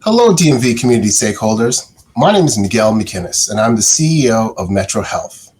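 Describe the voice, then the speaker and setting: Husky man giving formal remarks. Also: on the low side, lively intonation, fairly quick, a little mellow. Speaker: health center chief executive.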